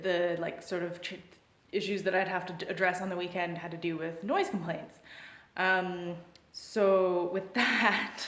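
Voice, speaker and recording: A woman vlogger telling a funny story from her past in a casual way, hesitant and full of fillers, often laughing. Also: fairly low pitch. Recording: echoey room.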